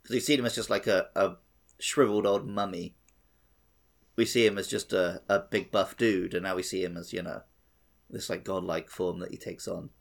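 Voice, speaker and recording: A man's voice: low-pitched, fairly even intonation, normal speed, creaky. A man co-hosting a casual gaming podcast, giving his opinions in conversation. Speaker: podcast co-host. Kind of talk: casual game discussion podcast.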